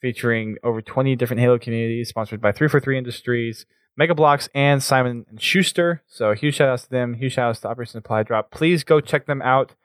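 A man's voice: mid-range voice, quick pace, no room sound. A man hosting a charity live stream, upbeat as he announces giveaway winners and sponsors. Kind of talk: casual stream chat.

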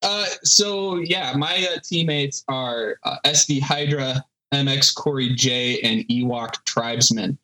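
Bright-voiced man in his thirties, halting. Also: mid-range voice, slight background noise, rough recording. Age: 30s. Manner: halting, many fillers, self-deprecating. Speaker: solo podcast host, guest. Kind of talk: casual podcast chat.

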